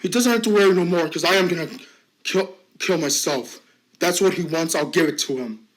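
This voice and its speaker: A man playing a bullied man in his fifties, shouting high and cracking into sobs, in an amateur drama. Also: brisk, natural voice, rough recording. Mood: despairing. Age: 50s. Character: bullied fifty-year-old man, desperate and tearful.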